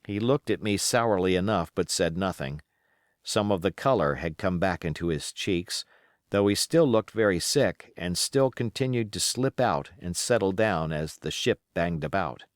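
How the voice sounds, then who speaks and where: A man's voice: sits low, lively intonation, moderate pace, no echo, studio quality. A man reading literary narration as an audiobook reader.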